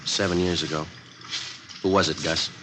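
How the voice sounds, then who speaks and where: A man's voice: low-pitched, steady tone, normal speed, noisy background, lightly acted. A man playing a terse, no-nonsense police detective in an old radio crime drama, clipped and matter-of-fact. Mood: businesslike. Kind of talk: 1950s radio crime drama narration and dialogue. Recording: vintage radio drama recording.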